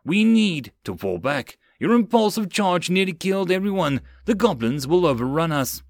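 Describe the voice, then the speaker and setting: A man's voice: on the high side, animated, normal speed, no echo, clean studio sound. Man playing a firm, exasperated Marine sergeant. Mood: cold, angry. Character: gruff, level-headed male Marine sergeant taking command. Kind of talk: military fantasy audiobook character.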